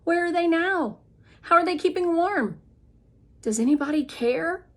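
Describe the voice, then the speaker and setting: A woman's voice: very high-pitched, animated, a little slow, a bit bright, voice pushed up, full character voice. A woman voicing an earnest, wistful preteen girl telling her story in a children's book. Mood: worried.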